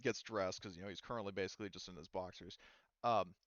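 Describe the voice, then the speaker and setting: A man running a tabletop game as game master, improvising narration in a halting, casual way. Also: low voice, fairly even intonation, a bit bright, no echo, muffled recording.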